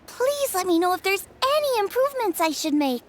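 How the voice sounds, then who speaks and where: Very high, eager girl chef. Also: big pitch swings, brisk, slight background noise. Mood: cheerful, eager. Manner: bright, earnest, polite. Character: sweet, eager, modest teenage girl chef. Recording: music behind the voice.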